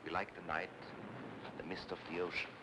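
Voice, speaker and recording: An actor playing a suave, mysterious psychic in 1940s film noir style, with a measured, theatrical, insinuating manner. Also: male voice, flat delivery, close to an everyday voice. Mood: seductive, musing. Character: suave, mysterious male psychic consultant, softly seductive and insinuating. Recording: noisy old film soundtrack with rumble.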